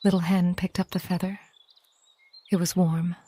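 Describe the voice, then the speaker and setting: Woman, lowish pitch, mellow tone, hushed breathy storytelling, unhurried, long pauses, cozy bedtime narration. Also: flat delivery, a little hiss or hum. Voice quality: soft, breathy, often near a whisper.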